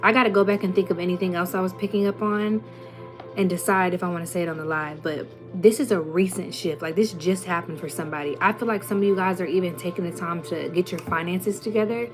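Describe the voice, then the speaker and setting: A young woman giving a casual, emphatic motivational talk to her viewers, full of slang and little acted-out voices, with soft music playing underneath. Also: lowish voice, steady tone, fast talker. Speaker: spiritual content creator.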